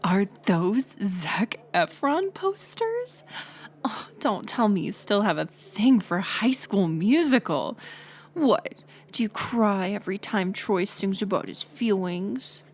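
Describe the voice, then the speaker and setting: A young woman playing a mocking college bully in an audio roleplay, sarcastic and teasing, with a hidden softer side. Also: medium pitch, very animated, slow pace, full character voice. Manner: sarcastic, teasing, scoffing with sighs and breathy asides. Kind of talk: audio roleplay acting, intimate one-sided dialogue.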